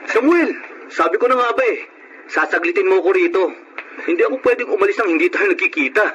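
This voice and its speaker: A man's voice: high-pitched, expressive, fast, a bit bright, lo-fi sound, faint music behind. An actor playing a cheerful, encouraging young male cousin in a radio drama. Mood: excited, friendly. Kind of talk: Filipino radio drama acting.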